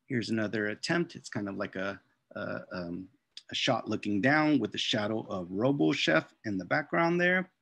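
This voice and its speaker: Middle-aged man with a creaky, casual voice. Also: lowish voice, expressive, normal speed, a bit bright, no echo. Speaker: children's book author and illustrator. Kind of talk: informal slide presentation of artwork. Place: indoors on a video call.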